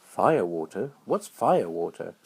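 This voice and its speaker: A man's voice: sits low, big pitch swings, slow pace, gravelly, breathy edge, heavily acted. A man voicing a friendly, brave and loyal young-hearted adventurer in a children's bedtime story, speaking earnestly in simple exclamations.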